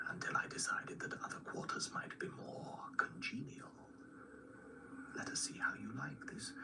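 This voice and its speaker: An actor playing an ancient, cunning vampire in a radio drama, breathy and formal, with mocking courtesy and cultured menace. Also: male voice, medium pitch, far from the mic, poor audio. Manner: formal, florid, slow and mocking. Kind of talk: radio drama letter monologue.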